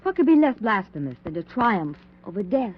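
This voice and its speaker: An actress playing a scientist's devoted, zealous wife in a 1940s radio drama, ardent and persuasive, with proper diction. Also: female voice, medium pitch, big pitch swings, brisk. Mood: fervent. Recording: dull 1940s radio recording.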